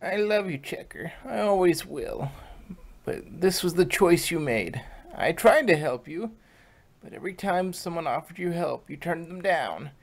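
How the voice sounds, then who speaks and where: A man's voice: a little high, expressive, measured pace, creaky, voice pushed up, full character voice. A man voicing a kindly, protective grandmother pony in a story reading, sorrowful but firm. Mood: sad, resolute.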